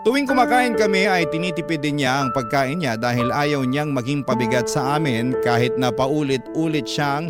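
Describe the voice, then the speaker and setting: Filipino man narrating over loud music. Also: mid-range voice. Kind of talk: sentimental radio story narration.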